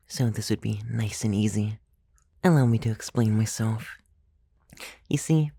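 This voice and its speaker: A gentle, teasing old vampire played by a man murmurs in an intimate ASMR roleplay. The voice is mellow and gravelly with fry, unhurried and a little hesitant, recorded very dry and close. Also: on the low side, dry recording. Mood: sheepish, then coy, apologetic. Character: clumsy, gentle, centuries-old male vampire, teasing and coaxing. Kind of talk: ASMR roleplay, soft-spoken with whispered stretches. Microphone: close, dry microphone.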